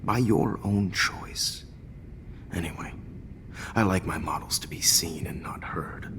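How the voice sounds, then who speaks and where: A smug villainous teacher. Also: male voice, low voice, measured pace, fairly bright tone. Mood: menacing. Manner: taunting, mocking, drops into whispers. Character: smug manipulative male photography teacher, a captor. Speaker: photography teacher. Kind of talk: video game voice acting.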